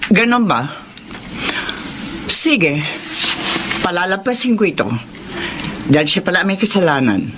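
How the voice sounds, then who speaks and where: A Filipino actress playing a stern but fair office supervisor in a radio drama, speaking with authority. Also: female voice, on the low side, big pitch swings, unhurried, pauses often, background noise. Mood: stern, measured. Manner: authoritative, admonishing.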